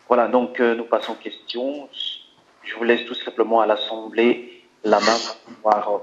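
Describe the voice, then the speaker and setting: A man's voice: medium pitch, lo-fi sound. A man moderating a church question session, polite and formal as he gives instructions. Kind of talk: formal announcing.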